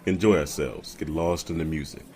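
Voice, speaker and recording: An actor playing a well-spoken, reassuring man who keeps things back from his date, measured and earnest, in an audio drama. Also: very deep voice, darkish tone. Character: smooth, evasive, reassuring romantic suitor with a secret.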